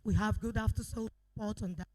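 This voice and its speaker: A woman giving a halting presentation at an event, with many pauses and restarts. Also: medium pitch, steady tone, darkish tone, low-quality recording. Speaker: company representative presenting.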